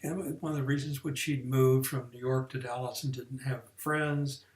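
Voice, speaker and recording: A man's voice: medium pitch, steady tone, normal speed, a little mellow, reverberant. A man explaining a career course in a plain, step-by-step way, with some restarts and fillers. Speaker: career coach. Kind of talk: recorded online training lesson.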